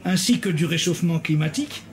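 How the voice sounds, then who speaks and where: A man interviewing an author, asking long questions in a measured, somewhat formal way. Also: on the high side, animated.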